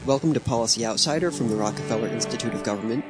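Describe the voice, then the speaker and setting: A man's voice: medium pitch, very fast. A man hosting a podcast with scripted narration. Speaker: podcast host. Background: loud instrumental music.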